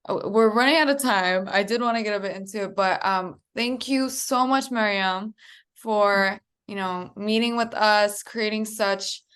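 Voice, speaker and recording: A woman's voice: mid-range voice, a bit bright, smooth. A woman interviewer asking questions in an enthusiastic, upbeat way.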